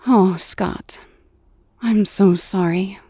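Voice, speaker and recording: Woman as caring heroine, unhurried, gentle, sincere, mid-pitched, audio drama dialogue. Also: breathy edge, dry recording. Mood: sympathetic concern. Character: compassionate young superheroine, gentle and concerned.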